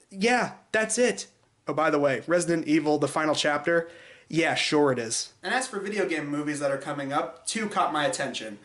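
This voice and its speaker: A man hosting a show with opinionated scripted commentary, informal and sarcastic in delivery. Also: on the high side. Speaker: video show host. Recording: echoey room.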